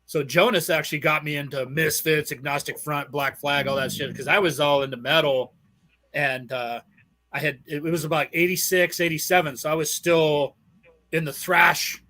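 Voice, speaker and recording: A man's voice: fairly high pitch, fairly even intonation, normal speed, sharp tone, poor audio. A man in his forties, chatting casually on a podcast, rambling and swearing constantly. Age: 40s. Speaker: podcast guest, band member. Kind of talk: casual chat.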